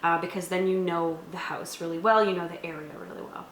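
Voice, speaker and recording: A woman with a slightly low voice talks casually, like a game master explaining things to a co-host. She is thoughtful and explanatory. The room is noticeably echoey, with faint background noise. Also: level tone, brisk, a bit bright. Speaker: co-host reviewing tabletop games, game master.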